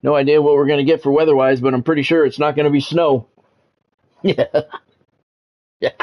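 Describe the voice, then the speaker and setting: A man's voice: a little high, level tone, a little fast, muffled recording. A man streaming a sports video game, mixing casual chat to viewers with play-by-play commentary and repeating himself as he goes.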